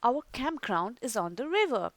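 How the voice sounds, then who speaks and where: Gloomy storybook boy, glum and flat-voiced, high and lively, as a woman reads him. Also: moderate pace, no room sound.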